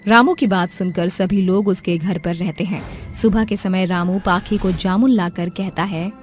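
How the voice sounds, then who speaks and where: A woman's voice: lowish voice, steady tone, a little slow. A woman reading a scripted moral story as its narrator. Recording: music playing behind the voice. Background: background music.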